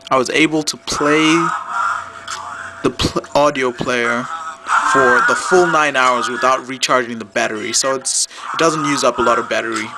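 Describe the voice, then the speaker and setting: A man's voice: mid-range voice, steady tone, normal speed, over loud music, poor audio. A man giving an unscripted how-to demonstration as a seller, explaining things plainly, step by step. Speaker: product demonstrator and phone seller.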